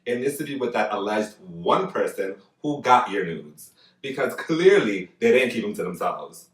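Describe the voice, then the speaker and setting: A man hosting a podcast, chatting casually in an animated, gossipy way and cursing freely. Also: lowish voice, moderate pace, roomy sound, far from the mic, low-quality recording. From Dominican family, learned Spanish first. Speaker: podcast host. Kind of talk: casual chat.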